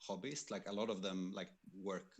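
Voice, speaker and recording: A man's voice: sits low, flat delivery, moderate pace, breathy edge. A man co-hosting a livestream, talking informally and hesitantly as he recounts past work, repeating words while he searches. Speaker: livestream co-host and game developer.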